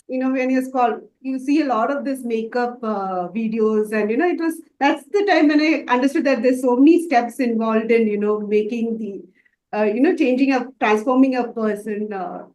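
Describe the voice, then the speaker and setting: A woman's voice: fairly high pitch, moderate pace, darkish tone, roomy sound. A woman from Dubai answering interview questions about her makeup work, hesitant and full of fillers and restarts. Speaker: makeup artist student.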